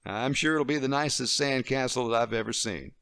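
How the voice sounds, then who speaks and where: A man's voice: medium pitch, brisk, vocal fry, a bit bright, smooth. A man reading a story aloud, voicing a patient father who speaks firmly while holding back frustration. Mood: friendly. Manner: restrained, firm.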